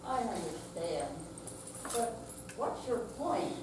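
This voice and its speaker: A woman's voice: mid-range voice, animated, takes it slowly, darkish tone. Stubborn, evasive old mother, acted by a woman for a stage reading; distant, echoing, noisy room. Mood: defiant. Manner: dry, obstinate, feigning forgetfulness. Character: stubborn elderly mother, evasive, sharp-tongued. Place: senior center room, live staged reading. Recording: very echoey hall, distant mic, noisy.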